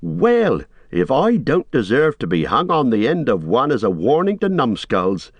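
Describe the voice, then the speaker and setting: A man voicing a loyal, excitable hobbit servant who chatters anxiously in rustic, deferential dialect, as in an audiobook. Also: medium pitch, measured pace, slightly rough, dry recording, voice pushed up, full character voice. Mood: excited rambling.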